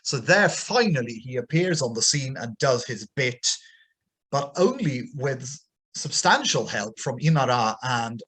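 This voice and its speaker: A man reading and explaining an ancient text as an informal lecture, pausing and thinking aloud as he goes. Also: mid-range voice, very animated, a bit bright, slightly rough, low-quality recording. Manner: hesitant, thinking aloud with pauses and repeats.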